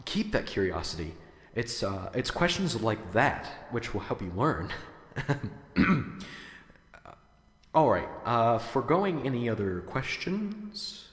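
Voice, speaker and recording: A man's voice: medium pitch, big pitch swings, slow, fairly bright tone, reverberant, in a large hall. A man playing a hesitant, unsure professor in his thirties in a radio drama, stammering as he lectures. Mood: nervous, hesitant. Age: 30s. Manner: hesitant, stammering, nervous chuckles. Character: nervous, unsure young male philosophy professor.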